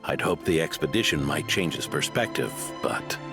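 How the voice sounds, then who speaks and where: An actor voicing an aging, kindly knight in a video game, measured and reflective, with a weary, self-reproachful manner. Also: male voice, rumbling low, animated. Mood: regretful. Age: older. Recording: game music behind the voice.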